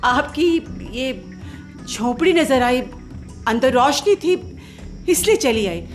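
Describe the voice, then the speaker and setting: Radio play stranded lady: high voice, formal, sharp-tongued and argumentative, music underneath. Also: lively intonation, slow. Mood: weary, explaining. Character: proud, sharp-tongued woman traveller stranded at night.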